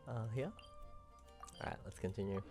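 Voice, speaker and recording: Casual woman game streamer over music. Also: rumbling low. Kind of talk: casual playthrough commentary. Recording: music behind the voice.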